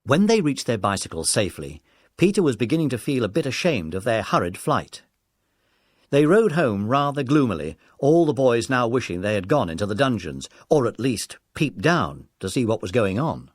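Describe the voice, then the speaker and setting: A man narrates a children's story from a script. His pitch sits in the middle and moves in an animated way, and the sound is close and dry. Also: moderate pace, no room sound.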